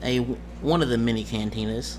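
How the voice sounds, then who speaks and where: A man's voice: mid-range voice, normal speed. Man narrating a tabletop game, noisy recording. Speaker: game master.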